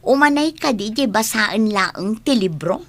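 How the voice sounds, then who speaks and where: A woman's voice: fairly high pitch, lively intonation, a little slow, fairly bright tone, exaggerated voice. A woman playing an envious, argumentative young classmate in a radio drama, challenging and complaining as she talks. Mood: challenging, scornful.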